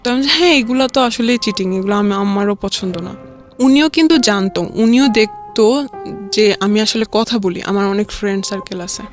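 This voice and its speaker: A woman's voice: medium pitch, normal speed, soft background music. A young woman with a Bangladeshi accent tells a personal story in a casual, conversational way, often acting out quoted dialogue. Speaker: guest telling a personal life story.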